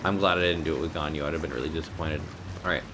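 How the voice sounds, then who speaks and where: A man's voice: a bass voice, steady tone, very fast, background noise. A man giving casual, slangy, sweary live commentary while streaming a video game. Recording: game music under the voice. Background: video game music.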